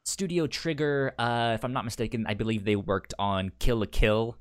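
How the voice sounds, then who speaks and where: A man's voice: medium pitch, steady tone, normal speed. A man co-hosting a podcast in casual chat, who stammers and repeats the first sounds of words. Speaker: podcast co-host.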